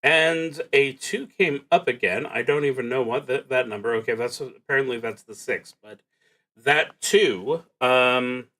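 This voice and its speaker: A man narrating a solo tabletop game on a livestream, thinking aloud in a casual, halting way with pauses mid-sentence. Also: mid-range voice, sharp tone. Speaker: solo tabletop roleplaying streamer.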